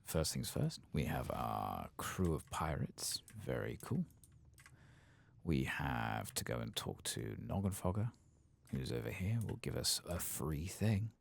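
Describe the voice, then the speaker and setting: A man softly murmuring low-key ASMR game commentary to himself, breathy and gravelly, often slipping into a whisper. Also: big pitch swings, measured pace. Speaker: streamer playing a video game.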